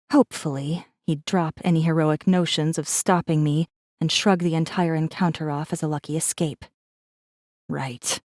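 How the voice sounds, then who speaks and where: Low-voiced, wry woman acting rebel messenger. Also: darkish tone, dry recording, studio quality, close to an everyday voice. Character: wry, guarded female rebel messenger and secret killer. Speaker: audiobook narrator in first person. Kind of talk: scripted dramatic narration.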